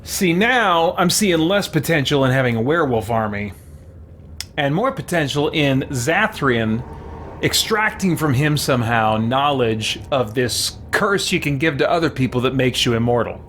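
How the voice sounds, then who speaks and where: A man giving casual live-stream game commentary, thinking out loud and reading chat messages. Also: mid-range voice, lively intonation, moderate pace, a little hiss or hum. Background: game music.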